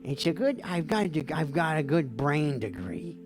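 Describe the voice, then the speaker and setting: A woman hosting a livestream call-in show as a mock therapist, dreamy, theatrical and goofy, with a gravelly voice. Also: low voice, big pitch swings, normal speed, a little mellow. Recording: music playing behind the voice. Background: background music.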